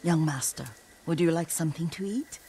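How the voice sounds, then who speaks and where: A woman playing an elderly, devoted caretaker in a video game drama, speaking tenderly and haltingly, often hushed. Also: low voice, a little hiss or hum, rough recording. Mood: nostalgic. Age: older. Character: elderly devoted female caretaker, gentle, wistful, stubborn.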